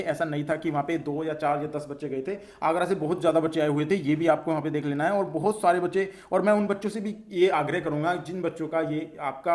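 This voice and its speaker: A man speaks very quickly in a slightly high voice. He sounds like an online host briefing students, setting out questions and answering them with frequent repetition. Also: darkish tone. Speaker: host of an exam news channel for students. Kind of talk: explanatory news update addressed to students.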